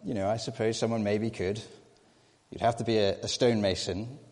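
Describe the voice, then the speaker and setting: A preacher voicing a patient father gently reasoning with his son, in a lightly acted storytelling voice. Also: fairly low pitch, fairly even intonation, a little fast, long reverb tail. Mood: musing.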